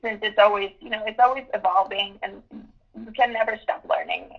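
A woman in her twenties, from Ukraine, answering interview questions with many pauses and restarts. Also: mid-range voice, fairly even intonation, highs cut off. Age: 20s. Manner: thoughtful, with many ums and restarts. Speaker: guest journalist.

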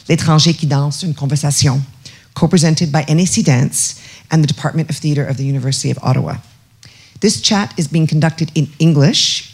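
A woman's voice: sits low, a little mellow. A woman, an executive producer, formally welcoming an audience to a talk before a show.

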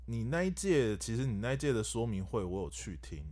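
Lively fast-talking Taiwanese-accented man. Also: mid-range voice, a little hiss or hum. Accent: Taiwanese Mandarin. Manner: animated storyteller, jokes. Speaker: voice actor guest. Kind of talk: casual chat.

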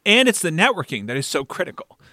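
Male interviewer, slightly high voice, close dry sound, conversational and animated, working thoughts out as he talks. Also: fast talker, dry recording. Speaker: podcast interviewer and show founder.

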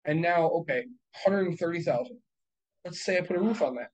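A man in his thirties, a real estate investor, talking casually and bluntly, swearing and working through numbers out loud. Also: fairly high pitch, brisk, low-quality recording. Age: 30s. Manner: profane, blunt, works through numbers aloud. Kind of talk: casual podcast chat.

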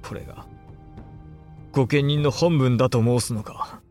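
An actor playing a dutiful but resentful young samurai in a period audio drama, with formal, emotional speech. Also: male voice, medium pitch, a little slow, darkish tone, faint music behind, lightly acted. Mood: bitter brooding.

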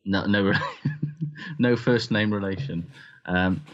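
A man's voice: fairly low pitch, slow pace, lo-fi sound. A man from Wales chatting casually and comically as a co-host, hedging as he goes and easily amused. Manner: hedging, conversational, readily amused. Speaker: podcast co-host.

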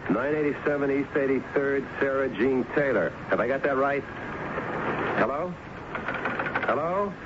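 An actor playing a dogged, matter-of-fact police lieutenant in a radio drama, dry and probing in manner. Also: male voice, mid-range voice, unhurried, pauses often, lightly acted. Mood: businesslike, offhand. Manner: dry, probing, casual hesitations. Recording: muffled, very noisy old radio recording.

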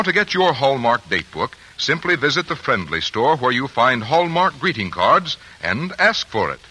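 A man's voice: on the low side, bright, forceful tone, husky, rough recording. A male radio announcer reading a commercial in a friendly, persuasive way. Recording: old radio broadcast.

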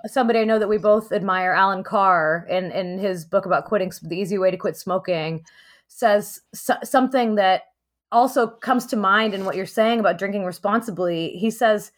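A middle-aged woman speaks in a casual, conversational way, as a podcast host would. She tells things as little stories, voicing inner thoughts as quoted asides. Her pitch sits in an ordinary range for a woman. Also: moderate pace.

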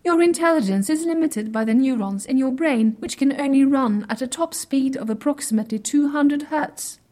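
A high, female-voiced superintelligent computer from an audio comedy, acted by a woman. It speaks in stilted, exact, formal phrases with a deadpan delivery. It is pedantic and literal-minded, and quietly smug about its own intellect. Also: normal speed, lightly acted. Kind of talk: scripted audio comedy drama.

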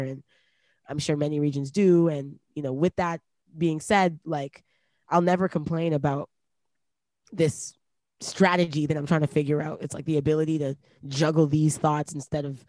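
A woman's voice: deep voice, dark tone, no echo. Woman, late twenties, from Canada, interview guest, reflective, filler-heavy, dry close sound. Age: late 20s. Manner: reflective, lots of "like" fillers, laughs easily. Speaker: rapper guest. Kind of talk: casual interview chat.

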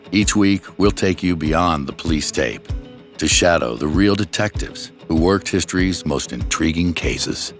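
A man voicing a podcast trailer in a suspenseful, questioning promo style. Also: very deep voice. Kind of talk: scripted promo voice-over.

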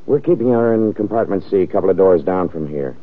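A world-weary, wisecracking police lieutenant in an old radio crime show. His voice is deep and swings widely in pitch, hard-boiled and sardonic, on a boxed-in vintage recording. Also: animated, fast talker. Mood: dry, wry. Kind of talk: old-time radio crime drama acting. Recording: muffled, narrow-band old radio recording.

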